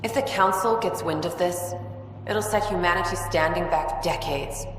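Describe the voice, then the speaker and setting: A stern, skeptical ambassador in a sci-fi game, played by a woman. Her slightly low voice is formal and authoritative, heard at a distance in a heavily echoing hall. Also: normal speed, soft background music, natural voice. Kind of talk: video game voice acting. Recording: very echoey hall, distant, faint noise.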